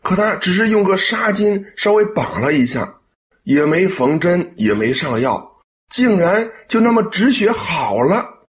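A man's voice: high-pitched, very animated, unhurried. A man acting a devoted, caring young adult son in a Chinese radio drama, speaking earnestly and expressively. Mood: amazed.

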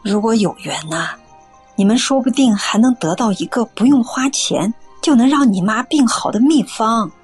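An actress playing a kindly, patient middle-aged auntie who explains things earnestly in a radio drama. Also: female voice, fairly high pitch, lively intonation, moderate pace, breathy edge, faint music behind. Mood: kindly, reassuring.

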